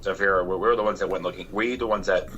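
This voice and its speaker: Low-voiced man playing a tabletop game. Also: steady tone, fast, fairly bright tone, highs cut off, a little hiss or hum. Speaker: player. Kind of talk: casual tabletop game play.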